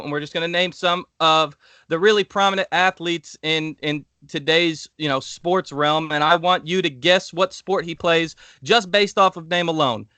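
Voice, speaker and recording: A man's voice: high voice, clean tone, no room sound. A young man co-hosting a casual podcast, a little sick and nasal, laughing often as he talks. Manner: laughs often, talks fast when excited. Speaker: podcast co-host. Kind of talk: casual chat.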